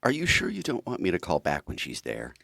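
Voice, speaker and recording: An actor playing a compassionate physician in an audio drama, grave, measured and sympathetic, with a gravelly voice. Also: male voice, low voice, wildly swooping pitch, sharp tone, a little hiss or hum, full character voice. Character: somber, compassionate male doctor.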